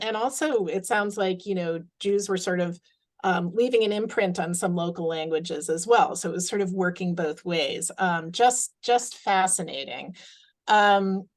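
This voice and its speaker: A woman hosting a webinar on a video call, thanking the speaker and moving to audience questions with frequent ums and restarts. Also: on the low side. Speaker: webinar host. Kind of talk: webinar moderation.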